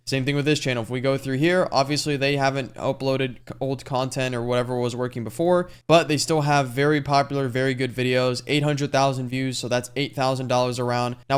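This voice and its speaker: Young male online coach, quick and smooth, slightly high voice, casual salesy tutorial patter. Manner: fast, casual, salesy talk with frequent "like". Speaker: YouTube growth coach. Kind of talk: screen-share tutorial.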